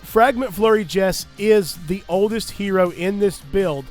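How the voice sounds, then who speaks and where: A man's voice: high-pitched, unhurried, a little mellow, faint music behind. Chatty man with a cold-tinged voice. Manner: chatty, earnest, upbeat. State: recovering from a cold. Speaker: gaming YouTuber. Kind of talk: casual gameplay commentary and loadout guide.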